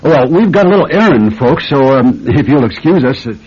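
Man acting animated, scheming husband in radio drama. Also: medium pitch, brisk, lightly acted. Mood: smooth, wry. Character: scheming, nervous husband with gambling debts. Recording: dull, slightly noisy radio recording.

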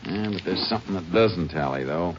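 An actor playing a laconic, methodical Texas Ranger in a 1950s radio drama, terse and matter-of-fact, with an American accent. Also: male voice, low voice, expressive, brisk, slight background noise. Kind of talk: 1950s radio drama acting and first-person narration. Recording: dull old radio recording, music behind.

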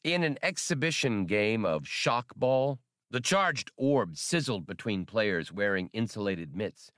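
A man narrating a science fiction novel as an audiobook reader, measured and expressive. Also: fairly low pitch, no room sound, clean studio sound. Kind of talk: scripted narration.

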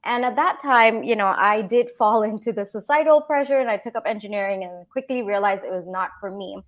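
A woman's voice: mid-range voice, fairly quick. A young woman from Singapore telling her own story as an interview guest, chatty, upbeat and easy-going. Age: young adult. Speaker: podcast guest, young entrepreneur. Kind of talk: conversational personal storytelling interview.

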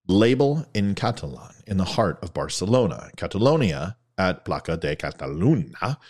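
Low-voiced man reading facts with jokey asides. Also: darkish tone. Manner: jokey, stumbles over foreign names. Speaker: commentary video host.

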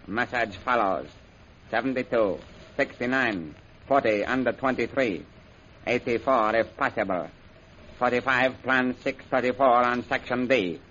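An actor playing a commanding, methodical Chinese general in an old-time radio serial, speaking formally and deliberately. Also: male voice, medium pitch, fairly bright tone, close to an everyday voice. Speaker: general giving orders and reading out messages. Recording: dull, noisy old radio recording.

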